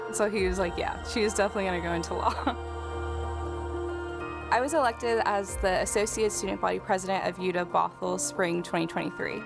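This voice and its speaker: A woman's voice: medium pitch, gravelly. A young woman in her twenties, a graduating student, talking earnestly and casually about her studies in an interview. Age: 20s. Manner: earnest, casual, with light laughs. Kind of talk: reflective interview talk. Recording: interview with music underneath. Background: background music.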